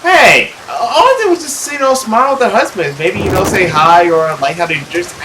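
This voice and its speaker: A man's voice: high-pitched, expressive, moderate pace, noisy background. A man hosting a gaming video, reading a visual novel aloud with exclamatory, jokey reactions.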